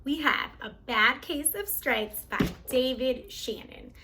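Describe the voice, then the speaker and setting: A woman hosting a read-aloud, telling a children's storybook in an animated, theatrical way. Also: sits high, takes it slowly.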